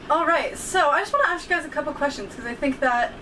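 A young woman interviews her guests in an upbeat, chatty way, her voice on the higher side. She is picked up from across a small boat cabin, distant, with clear background noise. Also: animated, quick pace, sharp tone. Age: young adult. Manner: friendly, enthusiastic, lots of "like". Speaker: vlog host interviewing. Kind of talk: casual interview. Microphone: camera across the table. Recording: distant camera mic with noticeable noise.

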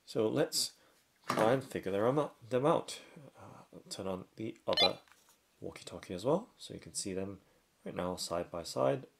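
A man with a low, creaky voice reviews a product hands-on. He talks very slowly and casually, going step by step in short, halting phrases. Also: lively intonation, fairly bright tone. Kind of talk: casual unboxing and hands-on demonstration.

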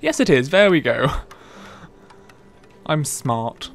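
A man's voice: on the high side, sing-song, takes it slowly, gravelly. A man gives casual let's play commentary. He takes his time as he talks, with some game music playing behind him. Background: video game music.

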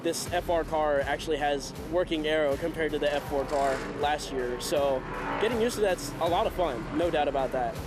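A man's voice: on the high side, moderate pace. Enthusiastic twenties male race driver, interview answers, music under the voice. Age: 20s. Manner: upbeat, enthusiastic. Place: outdoors in a race paddock garage. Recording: loud music bed underneath. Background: loud background music.

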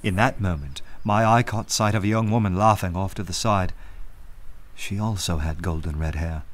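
An actor playing a witty, self-assured young poet in a radio play, courteous, dry and eloquent. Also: male voice, deep voice, moderate pace, lightly acted. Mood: reflective narration. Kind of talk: full-cast radio play acting with first-person narration.

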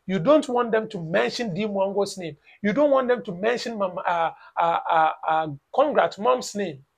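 A Ghanaian man in his thirties delivers a lecturing vlog from a small room at home. His voice sits high with a gravelly edge. He hammers each point with repetition. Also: animated, moderate pace. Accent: Ghanaian English. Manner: lecturing, emphatic, repeats phrases, mocks with "blah blah". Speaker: YouTube reaction vlogger. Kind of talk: opinion vlog commentary.

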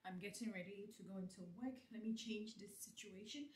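A woman in her twenties vlogging casually at home, talking to viewers with frequent laughs and animated asides, filmed on a handheld camera. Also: mid-range voice, brisk, echoey room, slight background noise. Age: 20s. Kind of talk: casual vlog chat. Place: small apartment kitchen and bedroom indoors. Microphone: handheld camera at arm's length.

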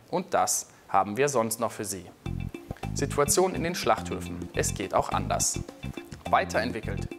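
Young guy reading tv news, medium pitch, music playing behind him. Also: vocal fry. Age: young adult. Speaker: news presenter. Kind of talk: scripted news presenting. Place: indoor TV studio.

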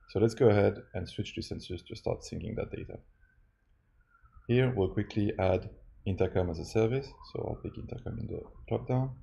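A man narrating a step-by-step software tutorial as a demo presenter. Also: sits low, gravelly. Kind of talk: scripted tutorial narration over a screen recording.

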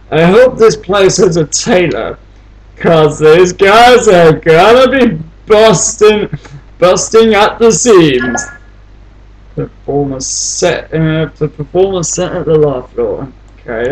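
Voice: medium pitch, breathy edge, poor audio. A gamer giving casual commentary on their own play, muttering to themselves and often repeating phrases. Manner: mumbling to self, repeating phrases.

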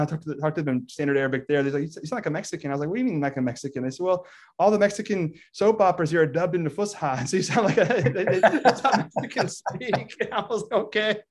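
A man in his thirties from Texas, a scholar talking as a guest on a video call, animated, laughing often and restarting his sentences. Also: fairly high pitch, racing. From Texas, United States. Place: indoor room with plain wall. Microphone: video call webcam.

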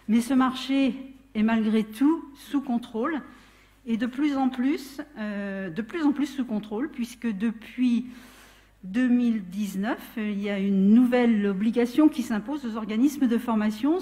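A woman's voice: on the high side, dark tone. A middle-aged woman gives a conference talk at a lectern in a large darkened hall, explaining step by step and often restarting her sentences. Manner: explanatory, with frequent restarts and self-corrections. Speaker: training consultant speaking at a trade fair. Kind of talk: conference presentation.

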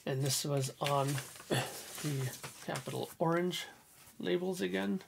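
A man's hoarse, gravelly voice. He chats enthusiastically in a small home room, with a faint hiss under the sound. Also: fairly high pitch, slow. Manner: enthusiastic, frequent throat clearing. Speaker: record collector vlogger. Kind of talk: casual record collection walkthrough. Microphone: headphones on, webcam or desk mic.

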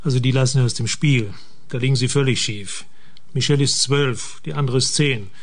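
An actor playing a brisk government minister in a radio drama, with a curt, dry, self-assured manner. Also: male voice, mid-range voice, steady tone, close to an everyday voice. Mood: defensive. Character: brisk, dry male government minister, protective family man. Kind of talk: German radio drama dialogue.

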